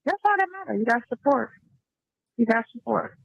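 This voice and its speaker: A woman's voice: a little high, lively intonation, moderate pace, creaky, breathy edge, dry recording. A woman chatting casually on a phone call, slangy and given to repeating herself. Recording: muffled played-back phone call.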